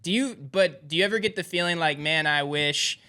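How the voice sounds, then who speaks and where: A man's voice: fairly high pitch, normal speed, sharp tone, smooth. A young man interviewing in a casual, enthusiastic, rambling and informal way. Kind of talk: casual chat.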